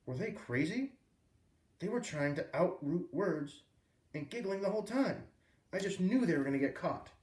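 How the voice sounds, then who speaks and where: A man reading a children's book aloud as a storyteller, with expressive, emphatic phrasing. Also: high voice, normal speed. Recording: roomy echo, distant microphone.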